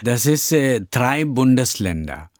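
A man in his sixties from India with an Indian accent, his first language Tamil, speaking German haltingly as a pastor being interviewed, often pausing with fillers as he searches for words. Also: mid-range voice, big pitch swings, darkish tone, no echo, studio quality. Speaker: pastor and church founder. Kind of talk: interview guest.

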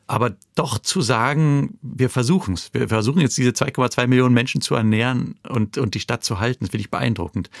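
Man, novelist, gravelly creaky voice, thoughtful explanatory manner, dry close sound. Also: medium pitch, no echo. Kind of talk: conversational interview.

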